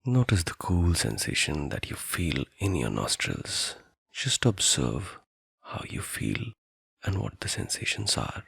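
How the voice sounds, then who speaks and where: A man guiding a meditation in a soothing, deliberate manner, leaving long pauses between phrases. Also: low voice, big pitch swings, vocal fry, a bit bright, husky, no echo. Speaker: meditation guide. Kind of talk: guided meditation narration.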